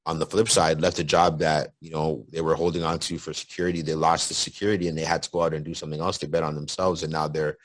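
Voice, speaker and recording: A young man from Nigeria whose first language is Yoruba, chatting casually as a podcast guest, animated and repeating words as he talks. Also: extremely low pitch, fast talker, few pauses, dry recording. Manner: animated, repeats words, rising excitement on sports talk. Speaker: podcast guest, life insurance agent. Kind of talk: casual conversation.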